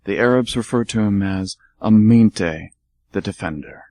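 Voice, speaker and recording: Man with a lowish voice, solemn narrator. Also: a little mellow, no room sound. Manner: solemn, deliberate mock-epic narration. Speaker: radio theatre co-host and performer. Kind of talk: amateur radio drama narration.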